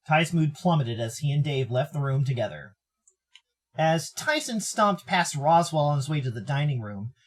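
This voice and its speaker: American male narrator for a visual novel, highly animated and theatrical, soft music underneath. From Arizona, United States. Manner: theatrical, expressive. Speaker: narrator and streamer.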